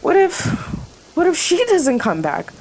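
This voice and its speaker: A woman's voice: very high voice, wildly swooping pitch, a little slow, a little mellow, slight background noise, exaggerated voice. A woman playing an overworked, devoted diner worker in a radio play, impassioned and hurt, piling up rhetorical questions. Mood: anxious, resigned.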